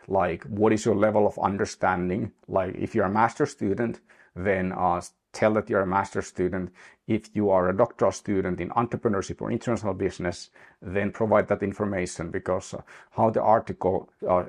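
A man's voice: low-pitched, a little mellow. A man giving a screen-share tutorial as a university instructor, talking in a hesitant way with many restarts and fillers.

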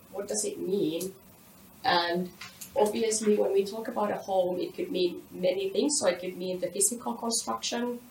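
Echoey, low-voiced woman presenting. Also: a little mellow. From Finland. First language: Finnish. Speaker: academic researcher giving a conference talk. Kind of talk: academic presentation, semi-scripted. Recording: echoey room, distant mic, faint noise.

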